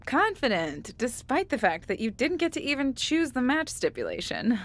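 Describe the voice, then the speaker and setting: A woman's voice: high voice, very animated, moderate pace. An actress playing a wry, chatty documentary interviewer in a wrestling video game cutscene, probing as she talks.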